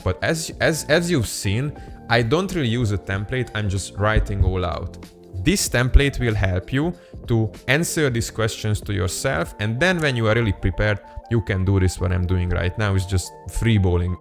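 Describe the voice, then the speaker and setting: Earnest young man with Hungarian roots. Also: fairly low pitch. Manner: earnest, upbeat, with small laughs and restarts. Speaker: vlogger. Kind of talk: casual direct-to-camera talk.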